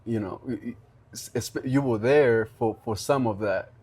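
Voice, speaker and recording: Mellow-voiced young male podcast host. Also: mid-range voice, husky. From Rwanda. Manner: earnest, halting, many restarts. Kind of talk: casual interview chat.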